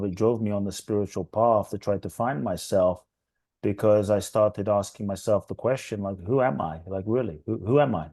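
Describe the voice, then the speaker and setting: Man from London, very low and warm voice, earnest reflective storyteller, dry close-miked sound. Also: no echo. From London, England. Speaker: guest, inspirational speaker. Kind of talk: podcast interview.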